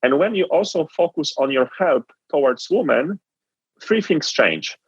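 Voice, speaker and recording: A man's voice: a little high, lively intonation, unhurried, slightly rough, no echo, lo-fi sound. A man from Poland with a Polish accent, telling his story as an interview guest in an earnest, reflective way. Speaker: guest entrepreneur.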